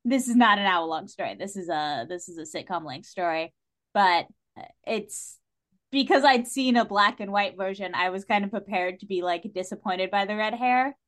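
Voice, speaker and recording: A woman speaking as a podcast guest in a casual, opinionated discussion, with an animated, wry manner. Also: medium pitch, normal speed.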